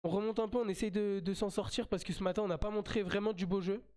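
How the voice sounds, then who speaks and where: A man's voice: sits high, fairly even intonation, quick pace, mellow. A man with a French accent and a slight cold, commentating his own mobile game live as a streamer, chatty and repeating himself as he plays.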